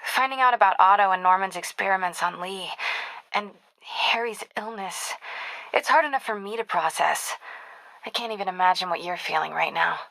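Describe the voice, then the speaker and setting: An actress with an American accent playing a caring, determined young reporter in a video game, speaking in an earnest, conversational, confiding way. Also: female voice, medium pitch, moderate pace, gravelly, bright, forceful tone, dry recording, poor audio. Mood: supportive. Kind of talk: naturalistic video game acting.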